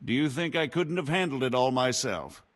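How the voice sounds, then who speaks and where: An actor playing a scheming imprisoned knight who talks slyly and self-pityingly in a video game cutscene. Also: male voice, mid-range voice, lively intonation, moderate pace, lightly acted. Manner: sly, wheedling, self-pitying. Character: scheming imprisoned robber knight, older man.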